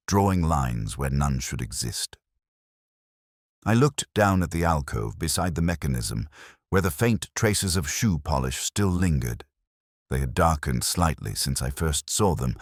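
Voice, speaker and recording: A man playing a brooding older English police detective, narrating a mystery drama in a measured, solemn, literary and reflective manner. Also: extremely low pitch, no room sound, studio quality. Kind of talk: scripted first-person mystery narration.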